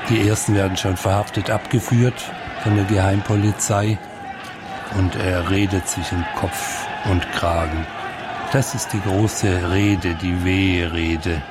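A man's voice: low-pitched, steady tone, measured pace, very noisy. A man giving informal commentary, retelling a story in a colloquial, wry manner. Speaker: commentator retelling and interpreting the Gospel.

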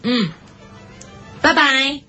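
Woman playing a high-voiced Cantonese receptionist. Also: expressive, drawn-out words, pushed and bright, lo-fi sound, full character voice. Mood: matter-of-fact. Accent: Hong Kong Cantonese. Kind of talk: comic radio drama. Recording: music playing behind the voice.

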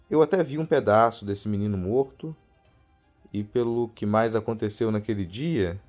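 A Brazilian man with a fairly low voice tells a scripted horror story as a podcast narrator. His reading is measured and literary. Music plays behind him. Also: a little mellow. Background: background music.

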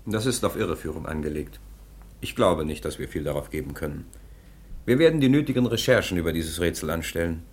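A low-voiced, stern official in a radio drama. He is curt and commanding, and his pitch swings widely as he questions and dictates with impatient authority. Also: animated, a little fast. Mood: brisk, skeptical. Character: stern, impatient male police official interrogating a foundling. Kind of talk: German radio play acting.

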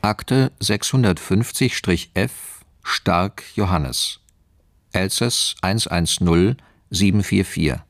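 An actor playing a formal announcer who reads out files in a radio play, clipped, official and deliberate. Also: male voice, low-pitched, vocal fry, no echo, full character voice. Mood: official, detached. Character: formal male announcer reading dossiers. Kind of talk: scripted radio play narration.

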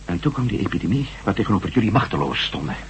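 An actor playing a dogged, inquisitive ex-astronaut reporter in a Dutch radio drama. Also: male voice, fairly low pitch, big pitch swings, racing. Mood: probing. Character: nosy, dogged male ex-astronaut reporter working undercover. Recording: noisy old radio recording.

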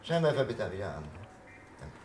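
An actor playing a persistent, probing doctor in a German radio crime drama, with an insistent, questioning manner. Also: male voice, fairly low pitch, sing-song, normal speed. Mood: probing, controlled. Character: persistent, probing male doctor challenging a death sentence. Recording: faintly noisy vintage radio drama recording.

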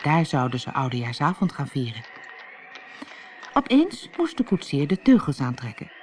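An audiobook narrator reading a children's fairy tale in an expressive, clearly paced storytelling manner. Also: medium pitch, normal speed, a little hiss or hum.

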